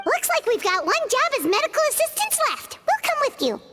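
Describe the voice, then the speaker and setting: A woman's voice: very high voice, huge pitch swings, brisk, a bit bright, heavily acted. A woman voicing a small, chatty, childlike female companion who makes bubbly, curious remarks in a game cutscene. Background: game soundtrack music.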